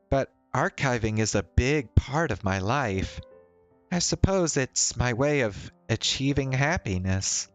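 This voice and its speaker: A man voicing a chatty, playful young woman in a visual novel, with a teasing, breezy manner. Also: medium pitch. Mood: thoughtful. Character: young woman, casual, chatty, playful story-game heroine. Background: faint music.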